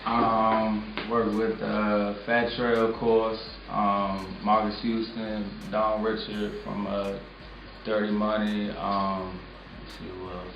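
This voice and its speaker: A young man talks in a loose, laid-back way, filling his answers with casual fillers. His voice sits a little low. It comes from a mic set well back in an echoey room, with noticeable background noise. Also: monotone, slow pace, distant mic, dull audio. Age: 20s. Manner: laid-back, filler-heavy, lots of "like" and "you know what I'm saying". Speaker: music producer, guest. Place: small recording studio.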